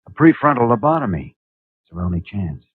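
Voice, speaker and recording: An actor playing an earnest, steady young doctor in a 1950s radio drama. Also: male voice, fairly low pitch, brisk, breathy edge. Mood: reassuring. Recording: tinny lo-fi 1950 radio broadcast.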